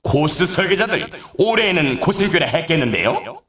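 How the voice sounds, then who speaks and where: Video game snowboard event announcer: excitable showman, theatrical, very animated male delivery with roomy echo. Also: fairly high pitch, normal speed, crowd noise. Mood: excited, celebratory. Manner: theatrical, showy, exclaiming. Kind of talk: hyped sports game announcing, scripted.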